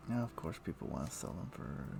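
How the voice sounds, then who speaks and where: A man streaming a game, chatting casually and thinking aloud in a halting, muttering way as he plays. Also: very deep voice, animated, vocal fry, background noise. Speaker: game livestreamer. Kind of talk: casual livestream chat with gameplay commentary.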